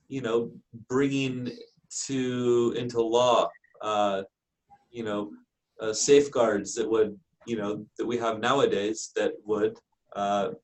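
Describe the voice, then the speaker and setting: An American man lecturing to a class as a university instructor, in a halting way padded with frequent filler phrases. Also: mid-range voice, poor audio. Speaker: university lecturer in special education.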